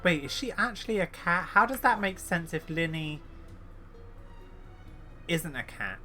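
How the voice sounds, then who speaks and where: A man's voice: on the high side, lively intonation, a little slow, pushed and bright, faint music behind. A man giving casual, enthusiastic let's-play commentary as a gaming YouTuber.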